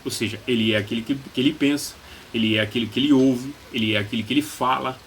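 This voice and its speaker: A man's voice: mid-range voice, quick pace, fairly bright tone, a little hiss or hum. A man with a Brazilian accent preaching motivationally as a pastor and coach, emphatic, repeating key phrases and asking rhetorical questions.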